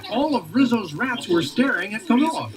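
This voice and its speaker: A woman reading a children's storybook aloud with expressive, animated narration. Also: a little high, moderate pace. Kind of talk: read-along story narration.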